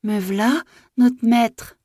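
A meek young country maid, played by a woman storyteller, answers her master with nervous obedience. Her speech is rustic and deferential, lifted higher than the reader's narrating voice. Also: lively intonation, slow, dark tone, no room sound, clean studio sound. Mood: flustered. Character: timid, obedient 21-year-old peasant servant girl, easily frightened.

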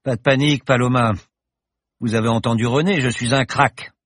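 A showy old professor, put on by a male audiobook narrator, talks loud and high above the narrator's own voice, lively and full of himself. The voice is a little husky, with dry close sound. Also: a little fast, dry recording, close to an everyday voice. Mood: jovial. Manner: jovial, showy, lofty speech. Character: boastful eccentric older male professor pilot.

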